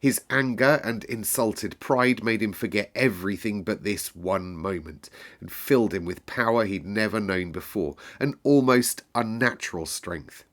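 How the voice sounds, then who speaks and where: A man reading a story aloud as narrator, expressive and dramatic, with deliberate emphasis. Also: fairly low pitch.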